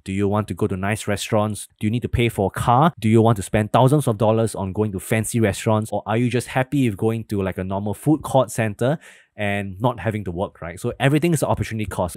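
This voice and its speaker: A young man talking through a spreadsheet tutorial step by step, conversational, often adding a quick "right?" at the end of a point. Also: on the low side, darkish tone, dry recording, studio quality. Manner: explains step by step, quick and conversational with tag questions. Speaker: finance educator. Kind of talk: screen walkthrough tutorial.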